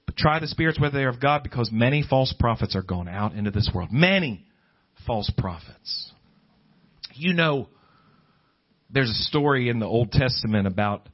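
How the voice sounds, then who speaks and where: A man's voice: mid-range voice, slightly rough. A man preaching a conversational, off-the-cuff sermon, emphatic and full of rhetorical questions and quotations. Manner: emphatic, rhetorical questions, quotes scripture and imagined objectors. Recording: dull, lo-fi sermon recording.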